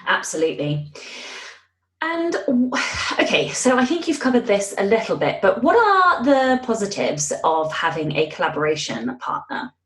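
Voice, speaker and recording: A woman's voice: medium pitch, very animated, moderate pace. A woman interviewing a guest conversationally for a podcast, recorded in an echoey room. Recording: echoey, roomy sound.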